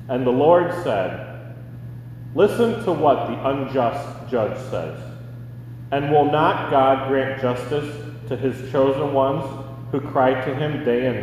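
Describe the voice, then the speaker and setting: A man's voice: medium pitch, darkish tone. American man reading scripture, very echoey. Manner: measured, formal. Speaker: scripture reader. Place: large indoor church. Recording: very echoey, distant, noisy hall.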